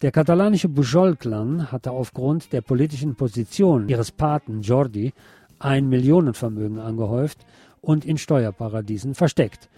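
Mellow-voiced man reading scripted news. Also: mid-range voice, moderate pace, husky, no echo. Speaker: radio news presenter.

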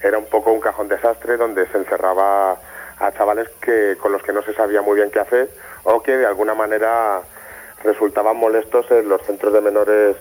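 A Spanish man speaks by telephone as an interview guest. His voice is low with little pitch movement, and he explains things at length in conversational testimony. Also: flat delivery, normal speed, darkish tone. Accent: Spain. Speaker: interview guest, former youth care educator. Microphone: telephone call.

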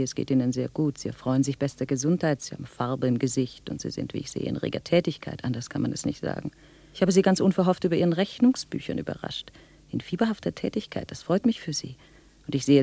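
A man's voice: fairly high pitch, a little fast, gravelly, mellow, no room sound. An actor playing a sly, falsely polite male visitor in a German radio drama, chatty, rambling and correcting himself with mock courtesy. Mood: mock-polite, needling.

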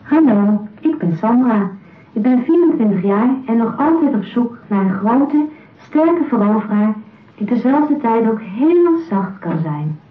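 A woman's voice: on the high side, sing-song, unhurried. An actress playing a romantic twenty-four-year-old woman introducing herself coyly on a dating video in a radio play. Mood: coy, yearning. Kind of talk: radio drama acting. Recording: echoey, tinny video playback in radio play.

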